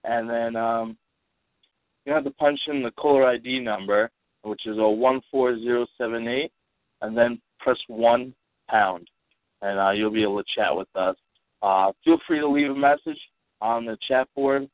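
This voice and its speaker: A man's voice: medium pitch, no room sound. Stuffy man hosting over a phone line. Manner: halting, many fillers and restarts. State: stuffy from allergies and a cold. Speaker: podcast host. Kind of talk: casual call-in podcast hosting. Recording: tinny dry phone line.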